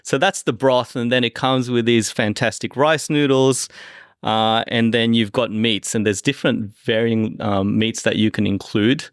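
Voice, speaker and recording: A man's voice: medium pitch, steady tone, moderate pace, clear, resonant tone, no room sound, studio quality. A middle-aged man with an Australian accent, co-hosting a casual food and wine chat in an explanatory, conversational way. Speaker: podcast co-host.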